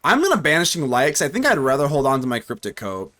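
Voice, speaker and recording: Animated young man streaming a card game. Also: mid-range voice, quick pace. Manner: animated, talks to himself and chat, jokey. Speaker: gaming streamer. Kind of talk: live gameplay commentary.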